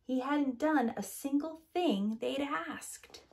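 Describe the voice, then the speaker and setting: A woman's voice: sits high, slow pace, vocal fry, a little mellow. A middle-aged woman, a teacher, reading a children's picture book expressively indoors. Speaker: teacher, storyteller. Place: small room at home, indoors.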